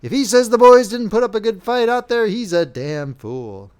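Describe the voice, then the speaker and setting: Male reader as blunt lieutenant, firm, louder and higher. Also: animated, moderate pace, clear, resonant tone. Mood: defiant. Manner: firm, undaunted.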